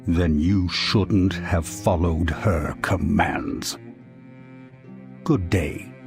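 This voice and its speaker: A man's voice: deep voice, extremely slow, soft background music, exaggerated voice. An actor playing a bitter older king in a game cinematic, curt, stern and formal. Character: bitter, gruff older king of a fantasy realm.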